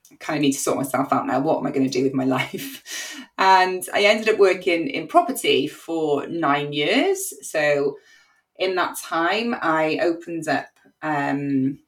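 A talkative woman with a strong Cardiff accent, her voice sitting a little low for a woman. She tells her story in a loose, chatty way, full of ums and quick asides, co-hosting a podcast. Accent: Welsh, Cardiff. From Wales. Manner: chatty, lively, laughs often, many fillers. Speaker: podcast co-host. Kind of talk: casual storytelling chat.

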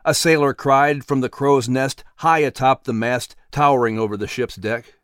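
A man's voice: medium pitch, steady tone, normal speed, clear, resonant tone, dry recording, studio quality. A man reading scripted story narration as an audiobook narrator. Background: faint music.

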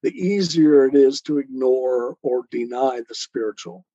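A slightly muffled, dry recording carries a man's mellow voice with a touch of huskiness. He explains in a measured, teacherly manner, setting out each point in steps. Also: mid-range voice, no echo. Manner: measured, didactic, builds points step by step. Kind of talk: explanatory talk on spirituality.

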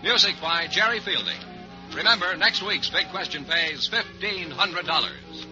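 A man's voice: sits high, lively intonation, unhurried, music in the background. An American man announcing on a 1950s radio show, reading in a polished, emphatic sales style. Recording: old radio broadcast, narrow muffled band.

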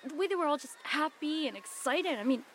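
A woman's voice: sits high, expressive, a little fast, slight background noise. A woman playing a wary, skeptical young woman. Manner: doubtful, guarded.

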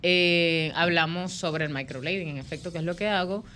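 A woman's voice: on the low side, moderate pace, fairly bright tone, slight background noise. A woman in her thirties with a Venezuelan accent, a microblading artist telling her life story as a guest on a radio talk show. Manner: chatty, storytelling, laughs easily.

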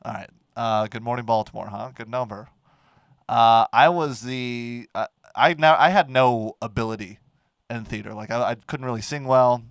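A man, co-hosting a casual comedy podcast and telling stories in an animated way, close to a microphone. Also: mid-range voice, no room sound. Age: 20s. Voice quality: nasal. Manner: animated storytelling, quick to laugh. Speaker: podcast co-host.

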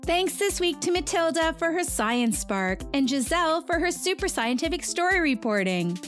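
A woman's voice: very high voice, very animated, normal speed, music in the background. A woman reading a scripted host's outro for a children's podcast.

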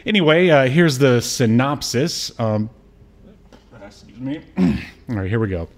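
A man's voice: medium pitch, expressive, a little slow, a little hiss or hum. Joking male podcast co-host, casual and wry. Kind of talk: casual chat about a nineties TV show.

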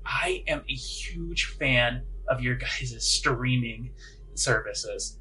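A man's voice: mid-range voice, slow, sharp tone, slight background noise, rough recording. A man voicing a nervous, deferential prison guard who stammers and speaks with hesitant, overly polite eagerness in a tabletop game. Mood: apologetic, eager.

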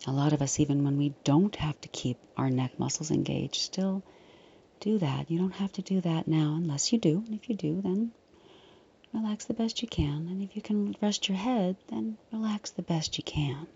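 A woman leading a guided meditation in a soft, breathy, often near-whispered voice, soothing, unhurried and gently reassuring. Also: lowish voice, muffled recording.

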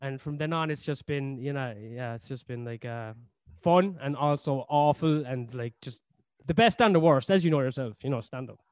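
A man's voice: mid-range voice, normal speed, darkish tone, no room sound. A man with a Cork Irish accent, a comedian telling stories in a casual interview, rambling with lots of fillers and casual swearing. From Ireland. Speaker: comedian guest.

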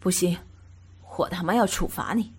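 A woman voicing a crude, domineering young man who swears and barks commands in a gruff, lowered voice. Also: normal speed, a little hiss or hum, natural voice. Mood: rough, domineering.

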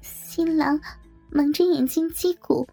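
A woman voicing a distressed, trembling character who recites haltingly and painfully in an audiobook story. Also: sits high, creaky, warm tone, soft background music, pitched above the performer's own voice, heavily acted, poor audio. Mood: pained, submissive. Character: trembling, distressed person reciting under duress.

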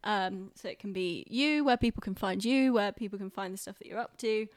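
A woman's voice: mid-range voice, brisk, dry recording. Woman co-hosting a podcast, dry close sound. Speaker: podcast co-host. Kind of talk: casual chat.

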